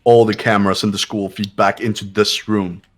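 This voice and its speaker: A man voicing a cool, authoritative student sleuth in an amateur game dub, speaking with deliberate, formal certainty. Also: fairly low pitch, level tone, soft background music, close to an everyday voice. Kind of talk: amateur voice acting of a video game.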